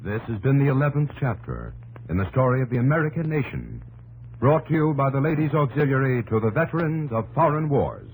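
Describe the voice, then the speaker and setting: A man's voice: mid-range voice, wildly swooping pitch, brisk, low-quality recording. A man announcing in formal, declamatory 1950s radio style, as for a programme sign-off. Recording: 1950s radio broadcast with loud organ music.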